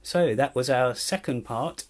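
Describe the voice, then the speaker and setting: A man's voice: mid-range voice, measured pace. Hesitant man hosting a podcast. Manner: hesitant, repeating words when wrapping up. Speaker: podcast co-host. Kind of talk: casual interview chat. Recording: clear music playing behind.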